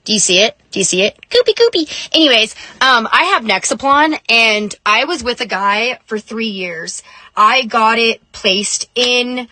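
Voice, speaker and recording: Bright, chatty woman vlogging. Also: a little high, animated, unhurried, dry recording. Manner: chatty, rambling, uses fillers like "like" and "um". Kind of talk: casual vlog confession.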